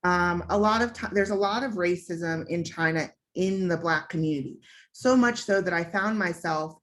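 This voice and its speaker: Young American woman, panelist, lower voice, reflective personal storytelling. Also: moderate pace. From United States. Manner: candid, reflective, with frequent "um" fillers.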